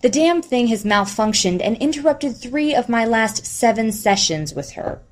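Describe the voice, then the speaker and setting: A woman voicing a composed, weary female therapist in an audiobook, speaking in a measured, professional way. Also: on the high side, lively intonation, pitched above the performer's own voice, close to an everyday voice. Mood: frustrated.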